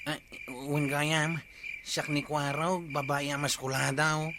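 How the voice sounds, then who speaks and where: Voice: medium pitch, little pitch movement, unhurried, noisy background, lightly acted. An actor with an Ilocano accent playing a hapless, reluctant captive in a village comedy drama, hesitant and pleading.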